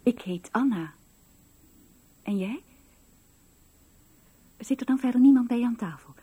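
An actress playing an impulsive eighteen-year-old girl in love in a radio play, breathy and a little hesitant. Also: on the high side, a little slow, warm tone. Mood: shy, eager. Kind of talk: radio drama recollection and dialogue.

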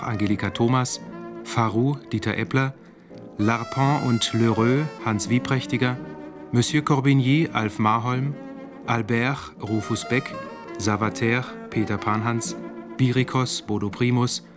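A man reading closing credits as a radio announcer in a formal, measured, matter-of-fact way. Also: medium pitch, fairly even intonation. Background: music with brass and guitar.

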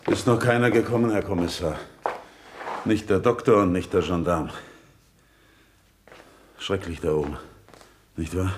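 A defensive brother in a radio mystery, with a fairly low voice. He sounds uneasy, protesting and indignant, as a man under suspicion. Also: steady tone, a little slow, pauses often, background noise. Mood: uneasy, subdued. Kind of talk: German radio crime play acting.

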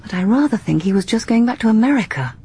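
A pushy young girl detective, kinda breathy, talks crisp and bossy. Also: fairly high pitch, animated, fast talker, mellow, heavily acted. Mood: determined. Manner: crisp and insistent. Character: brisk, determined young amateur sleuth woman.

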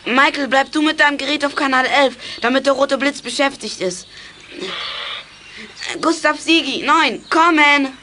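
A woman playing a quick-thinking child in a CB radio club, in a German children's radio play. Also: high-pitched, sharp tone, slight background noise. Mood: urgent.

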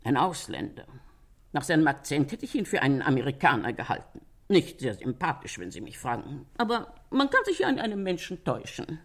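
A woman's voice: low-pitched, sing-song, a little fast, exaggerated voice. An actress playing a chatty, candid female witness in a German radio crime drama. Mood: chatty, confiding.

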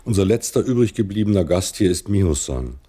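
Deep mellow husky guy doing reflective radio play narration as a worried islander. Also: lively intonation, brisk. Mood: sober, wry. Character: concerned man reporting on island life after nuclear disaster.

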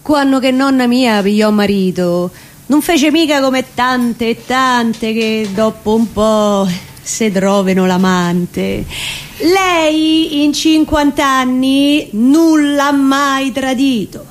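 A woman with a Roman accent gives a theatrical recitation of dialect verse, telling it deliberately with drawn-out phrases. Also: high voice. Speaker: reciting performer.

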